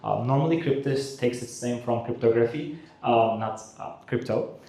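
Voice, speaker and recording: Young Turkish-accented co-host, mid-range voice, earnest speech, heavy hall echo. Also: male voice, mid-range voice, moderate pace, mellow. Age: 20s. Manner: earnest, with frequent uhs. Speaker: conference co-host. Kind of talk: welcome speech on stage. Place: conference hall. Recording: very echoey hall.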